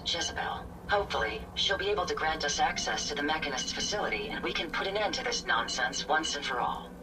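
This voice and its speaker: An actress playing an earnest, reflective female robot companion in a video game, measured and sincere through a robotic filter. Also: low-pitched, distant mic, poor audio. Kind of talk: video game cutscene acting.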